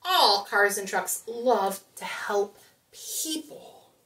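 A woman speaks to young children as a storytime host. Her mid-pitched voice swings expressively as she explains and reads aloud, addressing her listeners directly. She is recorded a little distance from the camera microphone in a small room. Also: expressive, extremely slow, a bit bright. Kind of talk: children's storytime talk and picture-book read-aloud.

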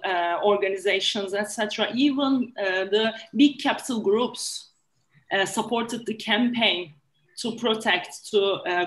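A woman's voice: a little high, fairly bright tone. A young woman speaking as a panelist in an online discussion, explaining at length with many fillers and restarts. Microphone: video call. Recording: echoey room on a video call.

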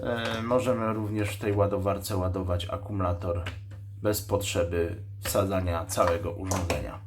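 A man hosting an unscripted product demonstration, explaining a device's specs in a matter-of-fact, technical way and pausing mid-sentence while he handles it. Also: sits low, fairly even intonation, background noise. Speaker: radio shop host reviewing a walkie-talkie.

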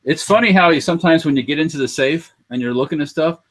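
A middle-aged man talks easily from his living room over a webcam video call. He chuckles often and hedges with fillers as he chats. The tone stays relaxed and conversational. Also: on the high side, fast. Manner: easygoing, chuckling, tentative with fillers. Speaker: podcast guest. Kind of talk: casual chat.

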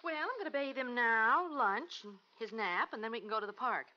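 A woman's voice: fairly high pitch, a little fast, lightly acted. A woman playing a busy, affectionate young American mother in a 1950s radio drama. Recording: dull, noisy 1950s radio recording.

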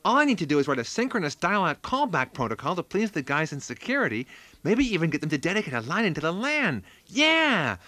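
High, bright man acting a nerdy husband. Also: sing-song, a little fast, no echo. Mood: eager. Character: nerdy, awkward computer-geek husband, eager and oblivious. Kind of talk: radio sketch comedy acting.

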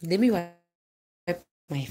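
A woman's voice: fairly low pitch, slow, a little hiss or hum. A South African woman in her thirties chatting casually and animatedly on a livestream, telling personal stories into a phone in a bedroom. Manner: chatty, animated, breaking off to greet viewers. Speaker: livestream vlogger, storyteller. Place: bedroom, indoors, lying in bed. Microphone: phone at arm's length.

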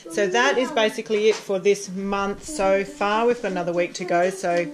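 A woman's voice: mid-range voice, fairly even intonation, moderate pace. A woman in her thirties with an Australian accent, chatting casually in a home vlog about books. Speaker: homeschooling parent and vlogger. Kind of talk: casual vlog book round-up. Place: room at home. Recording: children's noisy chatter in background. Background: young children playing noisily.

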